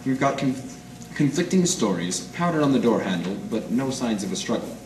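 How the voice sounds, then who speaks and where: A man's voice: mid-range voice, sing-song, normal speed, darkish tone, close to an everyday voice. A man playing a world-weary, cynical small-time detective in 1940s radio noir style, with wry, clipped hard-boiled slang. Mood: businesslike. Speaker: amateur stage radio-drama actor. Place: live performance in an art gallery. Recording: distant mic in echoey noisy room.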